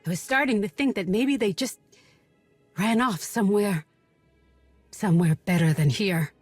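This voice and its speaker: A woman's voice: medium pitch, normal speed, slightly rough, no room sound, soft background music, close to an everyday voice. An actress playing a guarded, bitter young woman in a video game, blunt, sardonic and distrustful. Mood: subdued grief. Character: guarded, bitter young local woman searching for missing sister. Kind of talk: video game cutscene acting.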